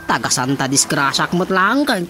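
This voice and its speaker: Man playing bright-voiced chatty Ilocano young villager. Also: on the high side, very animated, normal speed, soft background music. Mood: pleading, exasperated. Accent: Ilocano Filipino. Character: chatty, cheeky young male relative from the village. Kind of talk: Ilocano radio drama comedy acting.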